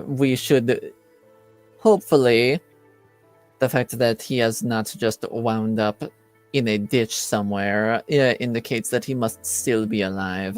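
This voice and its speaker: A man playing a composed, formal informant in a tabletop roleplaying game, speaking in measured phrases with hesitant pauses. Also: lowish voice. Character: formal, composed informant with cool professional detachment. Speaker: game master.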